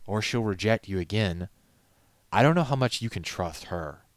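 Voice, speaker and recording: A man's voice: lowish voice, animated, normal speed, no echo, voice pushed up, natural voice. A man voicing a worried, skeptical male pony in a storybook reading, a blunt and protective friend.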